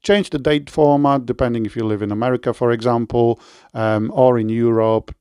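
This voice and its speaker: A man with a Polish accent giving a relaxed product review and installation walkthrough, with frequent fillers and self-corrections. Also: medium pitch, normal speed, clean tone, dry recording, clean studio sound.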